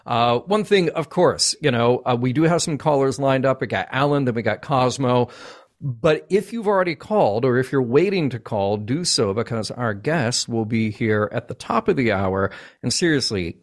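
A man's voice: mid-range voice, lively intonation, moderate pace. A man hosts a live call-in show in a casual, chatty, enthusiastic way. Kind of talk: casual live podcast hosting.

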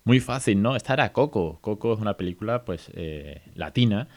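A man from hosts a relaxed educational podcast in a low, deep voice. He talks chattily, with lots of fillers and friendly questions to his listeners. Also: moderate pace, a little hiss or hum. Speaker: podcast host. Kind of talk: casual educational podcast talk.

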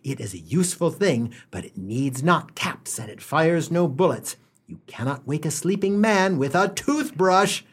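A wry, mock-solemn humorist acted by a man narrates a comic radio play. The voice is a little rough and swings widely in pitch, leaning hard on key words with theatrical relish. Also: lively intonation, unhurried, hardly any pauses. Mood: wry, mock-delighted. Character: wry humorist narrator gently mocking a boy's gifts. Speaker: storytelling narrator.

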